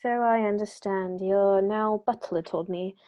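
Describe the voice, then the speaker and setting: A woman playing a calm, clever male detective in an old-style radio play, measured and precise as she works things out aloud. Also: medium pitch, highs cut off, close to an everyday voice. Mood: calm, deductive. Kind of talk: 1930s radio play acting.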